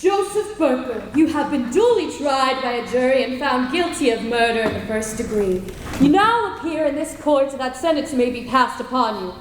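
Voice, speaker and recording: A stern, solemn judge voiced by a woman passing a death sentence in formal tones. The voice sits high and echoes through a hall with a long reverb. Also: expressive, normal speed, a little hiss or hum.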